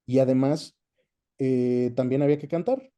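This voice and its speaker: A man's voice: mid-range voice, normal speed, dark tone, clean tone. A man with a Mexican accent chatting casually as an interview guest, recounting his experience. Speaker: guest, dubbing actor and director.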